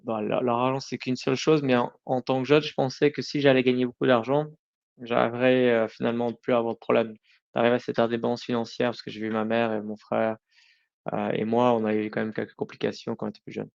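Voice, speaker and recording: A man's voice: mid-range voice, vocal fry, a little mellow, lo-fi sound. A middle-aged man answering interview questions informally, often breaking off and rephrasing. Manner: informal, halting, self-correcting. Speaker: podcast guest, company founder.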